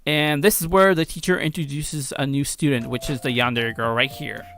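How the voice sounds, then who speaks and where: A man's voice: mid-range voice, fairly even intonation, moderate pace, a bit bright, dry recording, studio quality, faint music behind. A man giving casual commentary on his own game as its creator, informal and rambling, often correcting himself. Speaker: game creator and video host.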